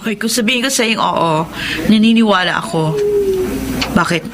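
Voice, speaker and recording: A woman's voice: medium pitch, moderate pace, lightly acted. An actress playing a frank, concerned young female office coworker with a Filipino accent in a radio drama. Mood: knowing, earnest. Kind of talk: radio drama dialogue, conversational.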